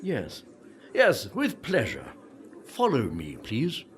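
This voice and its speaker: An actor playing the gloomy, repentant ghost of a Puritan pastor in a radio play, wistful and self-pitying in old-fashioned formal speech. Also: male voice, mid-range voice, huge pitch swings, slow, slight background noise. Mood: hesitant, polite.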